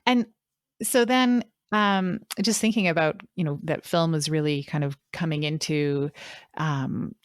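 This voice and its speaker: Low-voiced woman, slow and thoughtful. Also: dry recording. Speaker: podcast host. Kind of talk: casual discussion.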